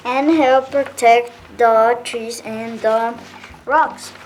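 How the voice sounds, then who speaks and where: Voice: mid-range voice, steady tone, extremely slow, slight background noise, low-quality recording. An amateur performer with a youthful female voice playing a helpful storybook dragon in reader's theater, reading carefully. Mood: earnest. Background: faint music.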